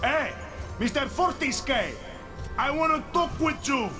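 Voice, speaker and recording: An actor playing a boastful, bloodthirsty undead barbarian warrior in a video game, blustering and indignant. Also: male voice, extremely high pitch, expressive, measured pace. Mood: indignant boasting. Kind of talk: video game cutscene acting. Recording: loud game music behind the voice.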